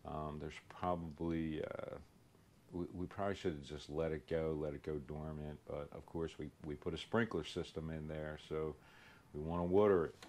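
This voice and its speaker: A man's voice: extremely low pitch, level tone, normal speed, a little mellow, a little hiss or hum. A man with a Philadelphia accent, talking casually to camera as host of a home and lawn care show, plain-spoken and easygoing as he explains the job.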